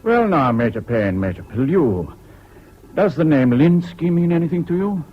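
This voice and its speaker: An actor playing a deliberate, probing naval intelligence commander in a 1970s radio drama, formal and questioning. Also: male voice, on the high side, big pitch swings, breathy edge. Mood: probing, cool. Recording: dull, noisy old radio recording.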